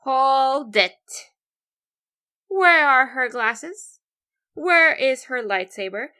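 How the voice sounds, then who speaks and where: A woman's voice: high voice, expressive, drawn-out words, bright, forceful tone, clear, resonant tone, pitched above the performer's own voice, full character voice. A woman voicing indignant, cliquey nerdy birds from a picture book, emphatic and judgmental.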